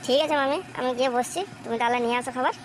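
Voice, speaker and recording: Woman voicing a high-pitched cheeky cartoon boy. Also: steady tone, rapid-fire, close to an everyday voice. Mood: eager. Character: hungry, cheeky, scheming young nephew, a boy. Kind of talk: amateur comic cartoon dubbing. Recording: faint background noise.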